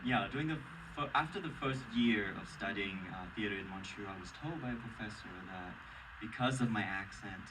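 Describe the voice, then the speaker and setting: A man's voice: lowish voice, fairly quick. A man with a Vietnamese accent, an actor on a stage panel, speaks earnestly and with emotion, often breaking off and restarting his sentences. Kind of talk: casual, personal panel Q&A answers. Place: large theater, Q&A on stage. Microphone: audience member's camera from the seats. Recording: distant, noisy audience camera recording.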